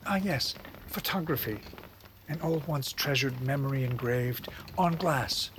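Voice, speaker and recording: A man's voice: medium pitch, a little slow, background noise, natural voice. A man playing a frail, distracted US president in an audio drama, hesitant, vague and wistful. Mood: vague, dreamy. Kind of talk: audio fiction acting. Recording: prominent music behind the voice.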